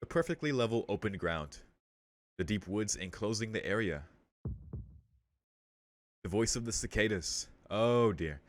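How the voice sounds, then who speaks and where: Man, low voice, let's play host, reading narrative text aloud, casual slangy reactions. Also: animated, unhurried, long pauses. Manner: interjects with casual slang and swearing.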